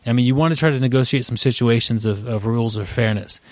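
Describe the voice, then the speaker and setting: A man's voice: mid-range voice, fast talker, no room sound. A man hosting a podcast in a casual, rambling way, with many fillers and restarts, laughing easily. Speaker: podcast host.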